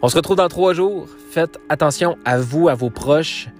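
A man's voice: fairly high pitch, moderate pace, soft background music. A man from Quebec with a Quebec French accent, hosting his podcast and talking casually, with many fillers and restarts. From Quebec, Canada. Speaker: podcast host. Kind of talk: casual solo podcast outro.

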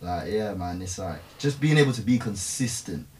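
A man's voice: on the low side, expressive, moderate pace, a little mellow, a little hiss or hum. Laid-back young man, mic a bit distant. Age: 20s. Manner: laid-back, rambling, heavy slang fillers. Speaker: podcast guest. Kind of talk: casual group discussion.

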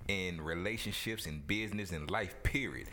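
A young man, born in Cameroon and raised in Texas, talking as a guest in a relaxed, slangy podcast chat. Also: normal speed, slight background noise. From born in Cameroon, raised in Houston, Texas. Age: young adult. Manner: laid-back, slangy, deep-voiced.

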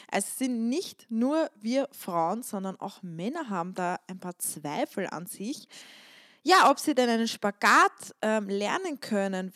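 A woman's voice: mid-range voice, a bit bright, dry recording, clean studio sound. A woman hosting a solo podcast on stretching, talking in a chatty, emphatic way and repeating words to stress a point. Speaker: podcast host and stretching coach. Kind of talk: casual solo podcast monologue.

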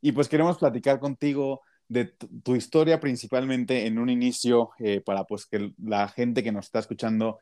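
Un hombre con acento mexicano, coanfitrión de un podcast, que plantea preguntas de forma divagante y con muchas muletillas. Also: male voice, mid-range voice, steady tone, normal speed, few pauses, mellow. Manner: rambling, lots of fillers. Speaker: podcast co-host. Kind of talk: casual interview chat.

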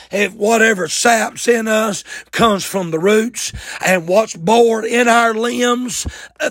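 A man preaching a fervent church sermon in a raspy voice, with emphatic, folksy exclamations and repeated phrases. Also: sits high, a bit bright, no room sound, clean studio sound. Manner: folksy, colloquial.

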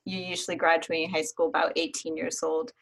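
A woman's voice: deep voice, level tone, brisk, creaky, fairly bright tone, poor audio. A woman, hosting a casual interview, enthusiastic and patient when she explains things. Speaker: host.

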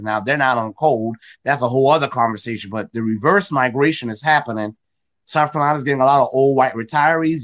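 A man's voice: mid-range voice, a bit bright. A man hosting an online audio room, talking politics in an emphatic, preachy way with lots of repetition. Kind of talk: political talk.

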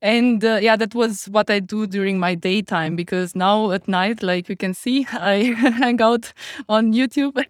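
A woman's voice: mid-range voice, measured pace, dry recording, studio quality. A young woman with a Romanian accent, a guest chatting casually on a podcast and laughing often. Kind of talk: casual chat.

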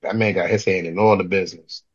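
A husky-voiced man co-hosting a podcast, joking and excitable, and he tends to repeat himself. The audio sounds a little muffled and lo-fi. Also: low voice, quick pace. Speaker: podcast co-host. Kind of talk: casual podcast chat. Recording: slightly dull lo-fi audio.

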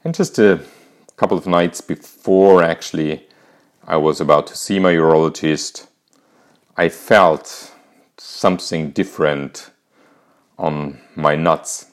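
A man in his fifties with an Austrian accent, hosting a podcast and calmly telling a candid personal story. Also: rumbling low, takes it slowly, pauses often. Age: 50s. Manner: calm, reflective, candid. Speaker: podcast host.

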